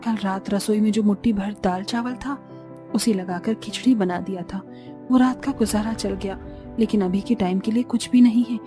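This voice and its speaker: A woman's voice: mid-range voice, moderate pace, over music, lightly acted. A woman voicing a hard-pressed, practical young village daughter-in-law in a cartoon story, earnest and complaining.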